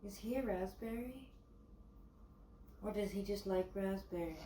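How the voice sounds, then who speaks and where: A woman's voice: mid-range voice, a little slow, warm tone, slight background noise. A woman making playful, teasing interjections in casual banter. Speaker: companion interjecting during the reading.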